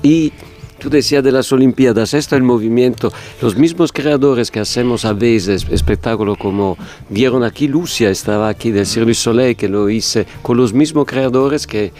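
A man's voice: mid-range voice, normal speed, a little mellow, soft background music. A man from the Italian-speaking part of Switzerland with an Italian accent and some Mexican Spanish in his speech, telling stories as a guest in a radio interview. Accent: Swiss Italian, with some Mexican Spanish. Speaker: guest, theatre director.

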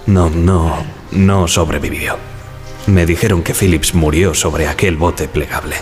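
A man playing a subdued Titanic wireless operator giving halting, deferential testimony in a radio drama. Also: deep voice, fairly even intonation, music in the background. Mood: hesitant, respectful. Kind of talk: dramatized testimony over music.

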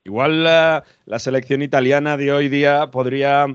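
A man's voice: medium pitch, fairly even intonation, normal speed, clear, resonant tone, no room sound, dull audio. A man co-hosting a sports show, talking through football analysis in a conversational way.

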